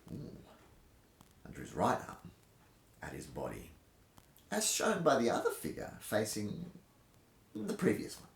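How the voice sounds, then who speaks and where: A man performs as a reader-host with a theatrical, emphatic style. His pitch swings widely and he uses dramatic pauses. The sound is roomy, with clear echo around the voice. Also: wildly swooping pitch. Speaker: host reading aloud from a historical treatise. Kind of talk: casual intro, then dramatic reading of an old fencing manual. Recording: roomy, echoing indoor sound.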